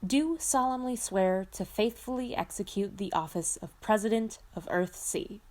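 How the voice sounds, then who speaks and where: A woman's voice: medium pitch, normal speed, a little mellow, close to an everyday voice. Woman acting a ceremonial, declamatory lady president. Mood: solemn. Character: formal female politician being sworn in as president. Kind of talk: voiced web-fiction audio drama.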